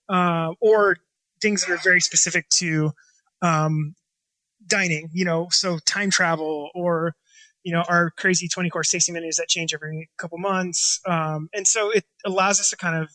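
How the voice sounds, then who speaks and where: A chef and restaurant owner from Washington State, talking casually in an interview and rambling with lots of fillers and restarts. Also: male voice, high-pitched, fairly even intonation, moderate pace, bright, forceful tone, no room sound, low-quality recording. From Washington State, USA.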